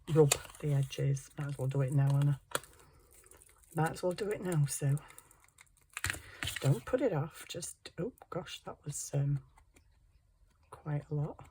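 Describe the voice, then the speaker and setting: A hoarse middle-aged woman chatting casually to herself while crafting, muttering and poking fun at herself. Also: low-pitched, animated, moderate pace, pauses often, background noise. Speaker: craft channel host.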